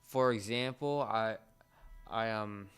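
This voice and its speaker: A man with a slightly low, exceptionally clear voice co-hosts a casual entertainment chat. He explains his points haltingly, in an informal conversational style. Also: faint music behind. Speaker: podcast host.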